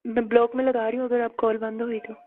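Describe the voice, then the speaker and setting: High, flat-toned woman on a tinny phone line. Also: fast talker. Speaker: phone caller. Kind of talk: casual phone conversation.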